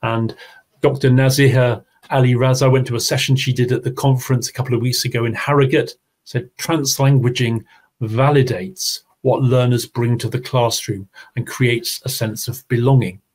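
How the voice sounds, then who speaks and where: A man's voice: mid-range voice, fairly even intonation, normal speed, darkish tone. A man presenting an online teaching seminar, talking his audience through his slides. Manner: explanatory, talks through slides. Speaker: webinar presenter.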